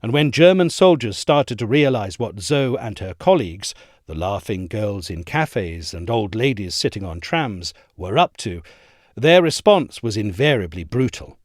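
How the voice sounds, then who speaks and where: A man reading a history essay aloud in a measured, expressive storytelling manner, close to a studio mic. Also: on the low side, no room sound. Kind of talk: scripted narration.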